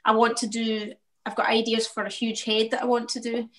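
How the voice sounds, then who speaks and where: A woman's voice: on the high side, flat delivery, fairly quick, a bit bright. A woman painter talking reflectively about her work in a casual interview, often laughing.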